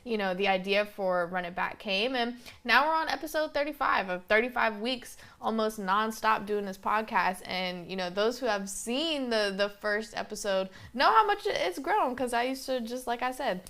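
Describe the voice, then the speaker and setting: Chatty young woman with smooth voice. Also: on the high side, animated, moderate pace, hardly any pauses, fairly bright tone. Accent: slight Southern US twang. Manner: chatty, rambling, many fillers. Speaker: podcast host. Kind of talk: casual solo podcast monologue.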